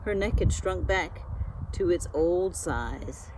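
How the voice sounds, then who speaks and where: A woman reading a children's storybook aloud, expressively and with dramatic pauses. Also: on the low side, expressive, darkish tone, a little hiss or hum, rough recording. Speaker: school media specialist reading aloud.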